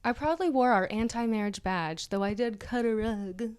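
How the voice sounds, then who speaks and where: A woman's voice: on the high side, normal speed, a little mellow, smooth. A woman co-hosting a comedy podcast, reading an article aloud in a casual, mocking way with giggly asides. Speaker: podcast co-host.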